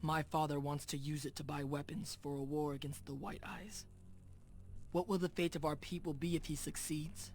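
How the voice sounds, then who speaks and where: An actor playing an Apache chief's son in a video game, solemn, shrewd and formal in his measured speech. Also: a little high, steady tone, a little mellow, close to an everyday voice. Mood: grave. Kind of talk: dramatic video game cutscene acting.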